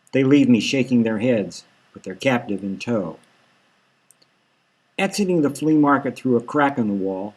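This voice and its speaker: A man's voice: medium pitch, low-quality recording. A man reading his own novel aloud as scripted audiobook narration.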